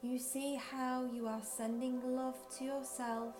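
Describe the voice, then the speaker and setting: A woman narrates a guided visualisation in a slightly high-pitched voice. She speaks very slowly and gently, leaving long pauses between phrases. Faint music plays behind her. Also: flat delivery. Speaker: guided meditation leader.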